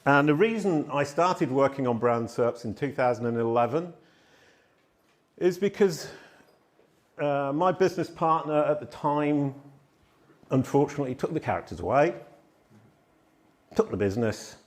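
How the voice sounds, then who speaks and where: A middle-aged man giving a live conference talk in a hall on a handheld microphone, telling stories loudly and poking fun at himself. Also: on the high side, normal speed, pauses often. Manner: loud, animated, self-deprecating storytelling. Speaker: conference speaker.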